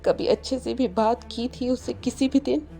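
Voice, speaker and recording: Woman acting an upset, high-voiced, accusing young person. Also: fairly even intonation, moderate pace, gravelly, mellow. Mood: bitter, defiant. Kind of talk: dramatized Hindi audio story. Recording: music behind the voice.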